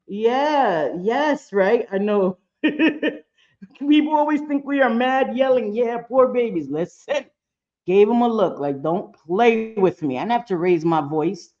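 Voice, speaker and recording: A middle-aged woman with a low voice, giving blunt, profane casual commentary as a livestream host, often laughing. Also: animated, slow pace, darkish tone, dull audio.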